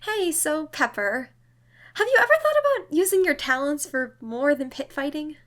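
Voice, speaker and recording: Woman acting a high-voiced, bookish young woman. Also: big pitch swings, moderate pace, a bit bright. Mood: tentative. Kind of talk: audio drama acting.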